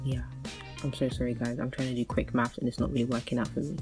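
A woman's voice: rumbling low, little pitch movement, quick pace, music in the background. A woman in her thirties hosting a solo podcast, chatting casually and rambling with many fillers and restarts. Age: 30s. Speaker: podcast host.

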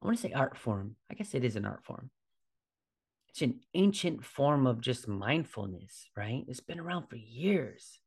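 Creaky-voiced man in his forties. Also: mid-range voice, big pitch swings, normal speed. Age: 40s. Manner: informal, conversational, often seeking agreement with "right?". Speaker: podcast host, fitness trainer. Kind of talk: casual solo podcast talk.

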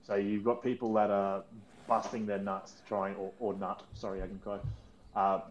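A man's voice: lowish voice, little pitch movement, normal speed, highs cut off, slight background noise. A man co-hosting a casual gaming podcast, teasing his friends and explaining at length. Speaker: podcast co-host.

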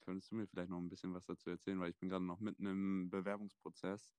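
A man of twenty hosting a casual chat, relaxed and thoughtful with plenty of fillers. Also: low voice, very fast, clear, resonant tone, no echo, clean studio sound. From Germany. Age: 20s. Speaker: podcast host.

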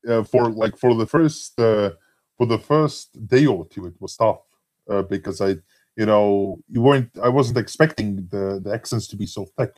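A man's voice: on the low side, moderate pace, a little mellow. A man from Latvia with a Latvian accent, talking casually and hesitantly with many fillers and restarts. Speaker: forum member being interviewed. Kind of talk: casual conversation.

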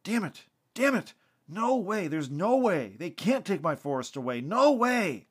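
A man's voice: sits high, sing-song, unhurried, breathy edge, voice pushed up, heavily acted. A man playing a hot-tempered, drunken family man who exclaims emphatically in outrage. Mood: furious, anguished.

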